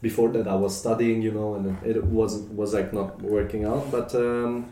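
A young man from Germany talks in a warm, mellow voice, pausing often with ums and restarting his sentences. He sounds relaxed and unhurried as he chats. The room adds a noticeable echo. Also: fairly low pitch. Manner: hesitant, many fillers and restarts. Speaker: podcast guest. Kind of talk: casual chat. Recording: roomy echo.

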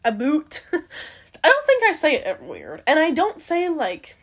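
Young woman from canada, fairly high voice, very lively pitch, rambling casual podcast chat, filler-heavy. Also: unhurried. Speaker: podcast host.

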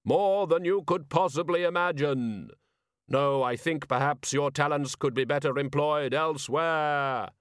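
A man voicing an ominous, unearthly rider who speaks with grave, deliberate calm in an audiobook story. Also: a little high, clear, resonant tone, dry recording, studio quality, voice pushed up, natural voice.

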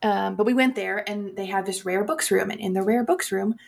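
Creaky-voiced woman chatting casually. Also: mid-range voice, brisk. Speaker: podcast co-host. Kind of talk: casual chat about horror movies.

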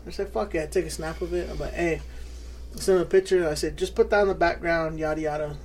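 A man's voice: a little high, fairly even intonation, quick pace, slight background noise. A man co-hosting a podcast, chatting casually, laid-back and profane, saying "bro" constantly. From New Mexico. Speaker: podcast co-host. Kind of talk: casual chat.

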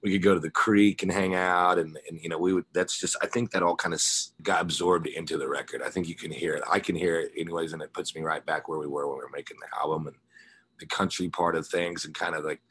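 Low, husky-voiced man chatting casually. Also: fairly even intonation, quick pace, a bit bright. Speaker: musician guest, guitarist and singer in a rock band. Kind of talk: casual interview chat.

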